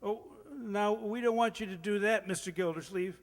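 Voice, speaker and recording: A man acts a bashful young suitor in an old-time radio comedy, bumbling and eager to please. His high voice stammers politely, with a long hall reverb around it. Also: normal speed. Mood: nervous, obliging. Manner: stammering, deferential.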